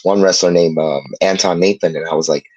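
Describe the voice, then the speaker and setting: A man from Puerto Rico chatting casually as an interview guest, telling stories in an animated way with quoted asides. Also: low voice, fairly quick, husky, dry recording, dull audio.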